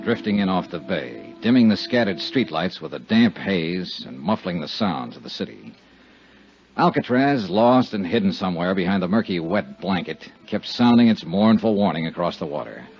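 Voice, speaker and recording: A man's voice: deep voice, big pitch swings, normal speed. An American man playing a tough, wisecracking insurance investigator who narrates his case in a wry, clipped 1950s radio detective style. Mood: brooding, watchful. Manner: wry, clipped, hard-boiled. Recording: dull 1950s radio recording with music.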